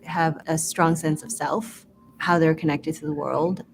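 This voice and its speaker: A woman from Vietnam telling her personal story in a measured, earnest way for a promotional video. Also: low-pitched, steady tone, creaky, noisy background. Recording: voice over background music. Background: music.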